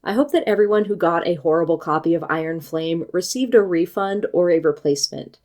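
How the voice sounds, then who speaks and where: A woman from the United States narrates a scripted book review. She speaks in a measured, articulate way and sharpens into pointed critique, her voice sitting a little low. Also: darkish tone. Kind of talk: scripted video essay.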